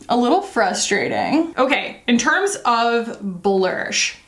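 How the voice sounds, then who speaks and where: A woman's voice: fairly high pitch, fairly bright tone. Chatty woman vlogger in an echoey room. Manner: rambling, self-deprecating, lots of fillers. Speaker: beauty vlogger. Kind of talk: casual chatty makeup review.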